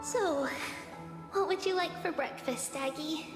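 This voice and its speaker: A small, gentle demigod girl from an anime game, wise and wistful beyond her looks. The voice is high and childlike, with slow, measured phrasing. It rings in a long hall reverb with music underneath. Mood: playful, caring.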